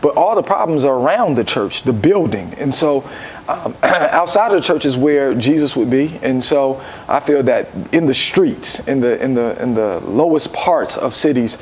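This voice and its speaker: A man's voice: medium pitch, expressive, normal speed. American man explaining earnestly, some background noise. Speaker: guest, ministry founder and book-drive leader. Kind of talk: earnest interview answers.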